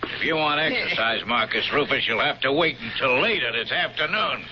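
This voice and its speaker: Man as a gruff, swooping Roman jailer. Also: mid-range voice, lively intonation, brisk, lightly acted. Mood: gruff taunting. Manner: taunting, folksy, swooping emphasis. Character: gruff, jovially mocking Roman centurion jailer. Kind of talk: 1970s radio drama acting. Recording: dull lo-fi radio, faint noise.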